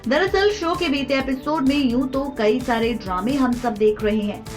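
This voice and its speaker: A woman with an Indian accent presenting scripted entertainment news about TV serials in a dramatic, emphatic way. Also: a little high. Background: background music.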